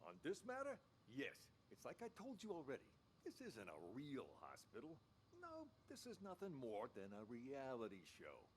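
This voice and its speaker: A man's voice: medium pitch, huge pitch swings, normal speed, a little hiss or hum. An actor playing a delusional, theatrical mental patient who thinks he is a director, pompous and conspiratorial in a radio drama style. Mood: grave, conspiratorial. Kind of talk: interactive radio drama acting.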